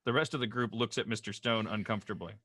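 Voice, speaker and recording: A man's voice: lowish voice, fairly even intonation, fast talker, bright, forceful tone, slight background noise. A man reading out script stage directions at a table read over a video call.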